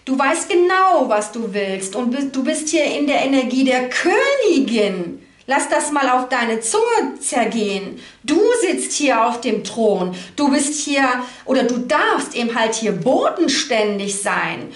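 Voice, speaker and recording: Female card reader, high voice, unscripted and halting, speaking directly to viewer in a roomy, echoing space. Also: big pitch swings. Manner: halting, thinking aloud, frequent tag questions. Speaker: tarot card reader, host. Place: indoor room at a table. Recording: roomy echo.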